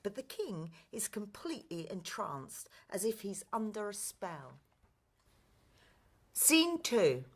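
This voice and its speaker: A woman's voice: mid-range voice, sharp tone. A woman giving scripted narration of stage directions for a play.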